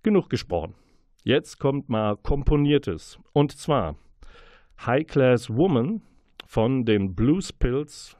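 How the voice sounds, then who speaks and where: A man hosting a radio book show, presenting reviews and interviews in a deliberate, wordy, playful announcer manner. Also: medium pitch, very animated, dark tone, dry recording, studio quality.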